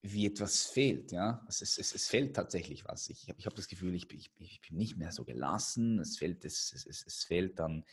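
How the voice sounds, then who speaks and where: A man's voice: sits low, lively intonation, moderate pace, hardly any pauses, breathy edge. A man with a slight cold chatting casually as host, often stammering and repeating words. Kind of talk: casual conversation.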